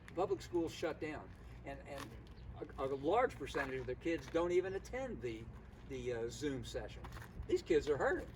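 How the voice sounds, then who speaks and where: Older man, slightly high voice, emphatic, deliberate, opinionated, distant mic, clear outdoor traffic noise. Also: very animated. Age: older, 60s or above. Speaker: concerned local citizen at a protest. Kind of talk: impassioned informal public speaking. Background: vehicles and outdoor ambience.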